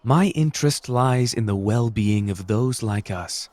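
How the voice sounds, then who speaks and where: A man playing a suave renegade sorcerer in an anime audio roleplay, speaking in a measured, formal, gently persuasive and manipulative way. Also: lowish voice, no echo, lightly acted. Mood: smooth persuasion.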